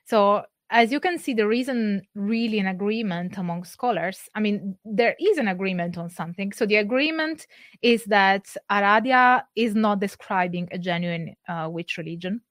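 A woman talks through scholarly sources in a careful, measured lecture. Her voice sits in a middling range, neither high nor low. The sound is dry, with almost no room around it. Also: a bit bright, no room sound. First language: Italian. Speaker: presenter. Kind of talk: academic lecture.

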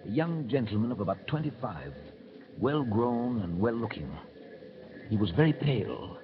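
An actor playing a grave gentleman narrator in a mid-century radio drama, telling the story in measured literary style. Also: medium pitch, big pitch swings, natural voice. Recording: muffled, noisy old radio broadcast.

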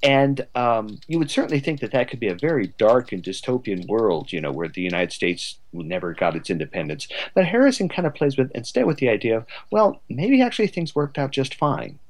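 A man talking as an interview guest, a writer thinking aloud in a halting, discursive, conversational way. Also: mid-range voice, expressive, darkish tone. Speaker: guest writer of a radio drama.

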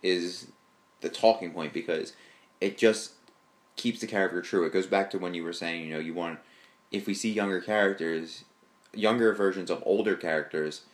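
Deep-voiced man in casual fan chat. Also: moderate pace. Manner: rambling, enthusiastic fan talk with fillers. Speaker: podcast co-host.

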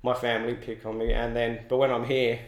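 A man's voice: mid-range voice, little pitch movement, brisk. A young Australian man, an artist, chatting in an interview in a loose, rambling way full of "like" and restarts. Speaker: artist guest. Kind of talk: casual interview. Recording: roomy echo.

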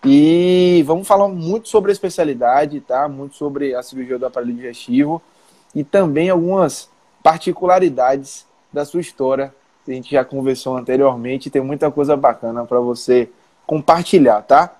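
A man's voice: mid-range voice, normal speed, warm tone. A man hosting an interview in a friendly, informal way, often checking in with the audience. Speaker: interview host, physician.

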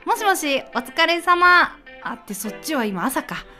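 High-voiced sweet girlfriend acted by a woman. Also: very animated, a little slow, faint music behind, full character voice. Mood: sweet, longing. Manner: soft, affectionate phone talk. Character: sweet young woman missing her long-distance boyfriend. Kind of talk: amateur radio drama acting.

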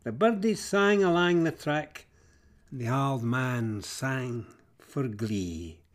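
A Scottish man narrating fables in Scots verse, theatrical and expressive, moving between hushed drops and emphatic swells. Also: mid-range voice, slow.

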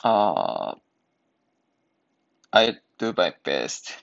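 Slow, creaky-voiced man. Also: lowish voice, big pitch swings, fairly bright tone. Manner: halting, careful learner's English. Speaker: podcast host practicing English. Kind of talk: reading aloud practice and simple podcast talk. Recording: dull lo-fi sound.

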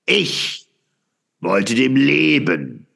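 A brooding philosopher in a radio play. His bright, forceful voice crackles with gravel and swings widely in pitch. He declaims fervently, halting and repeating himself for dramatic weight. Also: very animated, full character voice. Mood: rapturous, feverish. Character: fervent, brooding male philosopher proclaiming eternal return. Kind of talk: theatrical radio play monologue.

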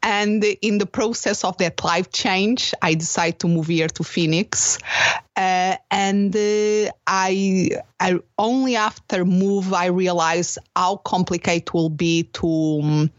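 Portuguese-accented woman, low voice, dry. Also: takes it slowly, dry recording, muffled recording. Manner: animated, nonnative phrasing, many fillers and restarts. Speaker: business coach guest. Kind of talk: interview conversation.

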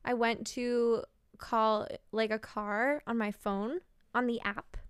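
A woman's voice: fairly high pitch, little pitch movement, slow pace, clean tone, no room sound, studio quality. A young woman co-hosting a podcast, telling a rambling story in casual chat, full of fillers and laughs. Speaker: podcast co-host.

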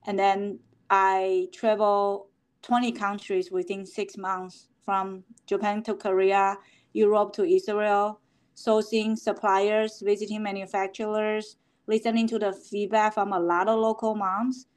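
Woman, halting interview answers. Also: medium pitch, level tone, smooth. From Taiwan. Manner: halting, with many fillers and restarts. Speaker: company founder, guest.

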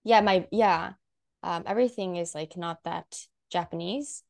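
Young woman guest, gravelly fry in her voice, rambles with tons of likes. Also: fairly low pitch, unhurried, a little mellow. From United States. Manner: rambling, many "like" fillers, frequent laughs. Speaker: guest content creator. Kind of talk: casual chat.

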